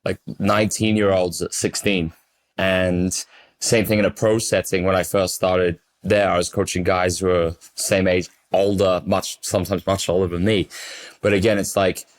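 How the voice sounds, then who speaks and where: Low-voiced English man, emphatic. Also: level tone, normal speed. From England. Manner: emphatic, fluent, repeats words for stress. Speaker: basketball coach, interview guest. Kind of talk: casual conversational interview.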